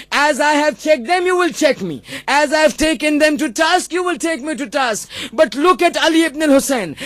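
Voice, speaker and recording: A man delivers a religious sermon in an extremely high-pitched voice, captured dry and close. He tells a sacred story with dramatic emphasis, repeating key phrases and acting out the dialogue as an impassioned preacher. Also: no room sound.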